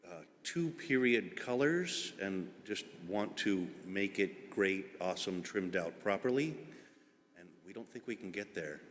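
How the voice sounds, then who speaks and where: A man giving informal public testimony as an applicant, explaining earnestly and haltingly with pauses. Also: deep voice, fairly bright tone. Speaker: applicant, homeowner at a public hearing. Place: indoor public meeting room. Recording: echoey meeting hall with background noise.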